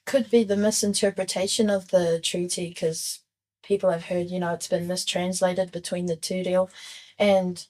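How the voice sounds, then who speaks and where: Soft-spoken young woman, flat steady voice. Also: lowish voice, normal speed. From New Zealand. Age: young adult. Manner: earnest, thoughtful, soft-spoken. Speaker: youth guest. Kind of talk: reflective interview answers.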